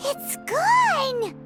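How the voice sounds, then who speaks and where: Tiny childlike fairy, extremely high squeak, wildly swooping, excitable, curious, game character. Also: female voice, full character voice. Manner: squeaky, wide-eyed exclamations and questions. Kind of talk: scripted video game dialogue. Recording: loud music behind the voice.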